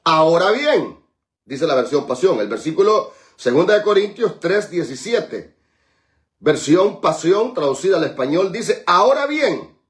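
Excited high-voiced male preacher. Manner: preaching with call-and-response, dramatic slowed emphasis on scripture. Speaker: pastor. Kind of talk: sermon.

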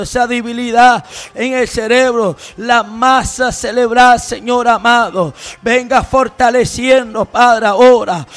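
A man praying as a charismatic preacher, crying out in fervent, repetitive pleas. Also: very high-pitched. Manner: pleading, repetitive, crying out to God. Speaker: preacher leading intercessory prayer.

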